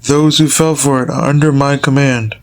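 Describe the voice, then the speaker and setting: A man's voice: medium pitch, little pitch movement, normal speed, lightly acted. A man playing a loyal, formal henchman spy in a comic fandub, speaking earnestly and deferentially to his master. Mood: deferential, grave.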